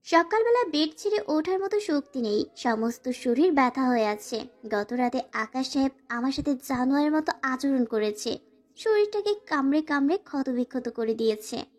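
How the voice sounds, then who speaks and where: A woman's voice: high-pitched, lively intonation, normal speed, a little mellow. A woman voicing a timid, sorrowful young wife who narrates a dramatized romantic story and pleads submissively. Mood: sorrowful narration. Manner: formal, submissive address to her husband.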